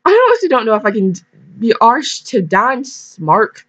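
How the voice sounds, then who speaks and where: A woman's voice: fairly high pitch, big pitch swings, moderate pace, voice pushed up. A woman voicing a nervous, reluctant young groom with an attempted Irish accent in a read-aloud story. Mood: reluctant, huffy. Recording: dull lo-fi microphone.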